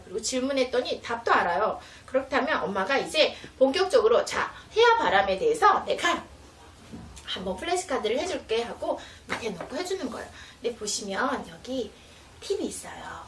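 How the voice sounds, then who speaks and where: Female educator, higher-pitched, instructive and animated, demonstrating in an echoey room with faint noise. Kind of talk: explanatory product demonstration for parents. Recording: roomy, distant mic, faint noise.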